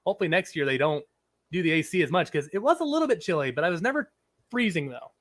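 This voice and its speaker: A man's voice: a little high, big pitch swings, fast, a bit bright. A man hosting a casual live chat stream, his voice a little scratchy from a lingering cold, rambling and reading out viewers' messages. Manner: chatty, rambling, reads chat aloud. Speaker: livestream host.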